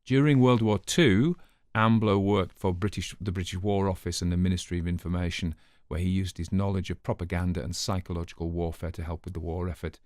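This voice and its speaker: A man's voice: deep voice, fairly even intonation, fairly quick, a little mellow, no echo, clean studio sound. A man hosting a story reading, giving informal literary commentary in a chatty manner with offhand asides. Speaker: host and story reader.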